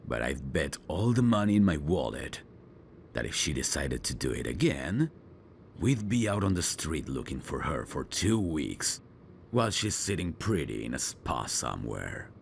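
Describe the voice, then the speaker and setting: A man playing a gruff, world-weary veteran police detective in an audio drama, with a husky, gravelly voice and a sardonic manner. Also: rumbling low, sing-song, normal speed, a little hiss or hum, full character voice. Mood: cynical, annoyed. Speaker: detective mentoring a rookie. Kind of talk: scripted roleplay audio drama.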